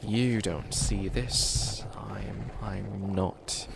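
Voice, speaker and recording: A man's voice: lowish voice, steady tone, extremely slow, gravelly, background noise, heavily acted. A man playing a bumbling, easily flustered male witch who wants to be a villain, stammering and rambling in comedic ASMR roleplay. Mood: defensive, rambling.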